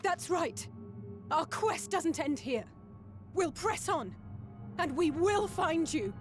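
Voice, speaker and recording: A woman playing a hopeful, determined young companion in a game, earnest and deliberate. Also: very high voice, big pitch swings, faint music behind, exaggerated voice.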